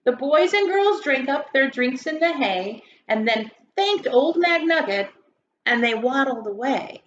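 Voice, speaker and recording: A woman's voice: high voice, expressive, unhurried. A woman reading a children's story aloud like a grade school teacher, with theatrical, emphatic delivery. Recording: roomy echo, dull sound, faint noise.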